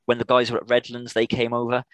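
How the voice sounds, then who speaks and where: A British man informally answering questions as a presenter on a video call, in a halting way with repeated words and restarts. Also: mid-range voice, completely flat delivery, no room sound. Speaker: air traffic controller, guest presenter.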